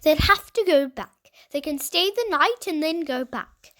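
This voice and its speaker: Storybook aunt, played by a woman: high voice, sharp, weary, disagreeable and put upon. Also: animated, measured pace. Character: thin, tired, sharp-tongued aunt, easily put upon.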